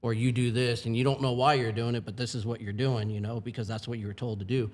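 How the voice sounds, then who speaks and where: A man's voice: mid-range voice, level tone, fast, a little mellow. A man in his forties, a firefighting supervisor, talking in an earnest, plain-spoken way with many asides in a casual podcast chat. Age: 40s.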